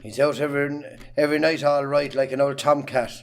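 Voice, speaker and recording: A man playing a domineering farmer father in an amateur drama, with a rural Irish accent and a gruff, scolding manner. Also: fairly high pitch, little pitch movement, brisk, natural voice. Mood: scornful. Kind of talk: amateur radio-style stage drama acting.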